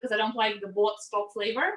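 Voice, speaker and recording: A woman's voice: medium pitch, steady tone, a little fast. A middle-aged woman from Russia with a Russian accent, chattily explaining as she leads a casual online cooking class. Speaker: home cook leading an online cooking class. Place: indoors at home, cooking. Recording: roomy, echoey home sound.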